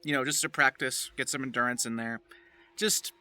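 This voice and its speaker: A man's voice: medium pitch, fairly even intonation, normal speed, pushed and bright. A man talking casually and informally as a voice actor giving a tutorial, rambling a little and encouraging the listener. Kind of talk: loose, casual instructional talk.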